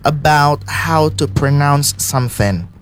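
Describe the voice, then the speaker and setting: A man whose first language is Indonesian, co-hosting a podcast in English as casual chat. He is animated, laughs often and acts out little dialogues. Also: on the high side, a little slow, clean tone. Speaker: podcast co-host.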